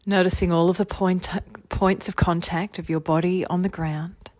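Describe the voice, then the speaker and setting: A woman leading a guided meditation as a teacher, giving gentle, soothing body-scan instructions in an unhurried way. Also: on the low side, steady tone, no echo. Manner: soothing, unhurried, gentle instruction with long phrases trailing softly.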